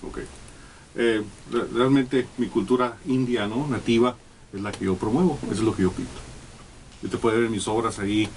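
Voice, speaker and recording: Un hombre de mediana edad de México, invitado en una entrevista de radio, que habla con muchas pausas y muletillas. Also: male voice, mid-range voice, fairly even intonation, darkish tone. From Mexico. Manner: halting, many fillers and restarts. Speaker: guest artist. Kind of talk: casual radio interview. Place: small radio studio. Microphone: desk studio mic, sitting back. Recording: distant from mic, faint room noise.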